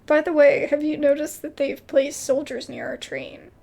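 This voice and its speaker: A woman with a high voice that often drops into vocal fry. She narrates a video game casually, reading lines aloud and adding informal exclamatory comments. Her intonation is animated, and there is faint noise behind her. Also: normal speed. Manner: informal, exclamatory asides with frequent "like".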